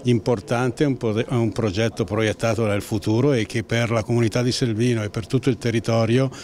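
A man's voice: medium pitch, steady tone, fairly quick, darkish tone. A man in his sixties with a northern Italian accent, a local official making an interview statement. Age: 60s. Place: indoor office. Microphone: handheld reporter microphone.

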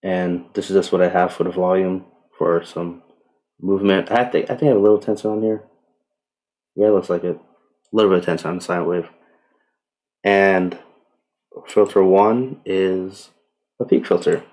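A man giving a casual software tutorial in a relaxed, unhurried way with tentative asides. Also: low-pitched. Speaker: tutorial presenter. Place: indoors, voice-over on screen recording.

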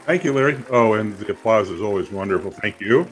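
A man's voice: fairly low pitch, lively intonation, fairly quick, soft background music, low-quality recording. A middle-aged man hosting, giving welcoming remarks over a video call. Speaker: society president, show host.

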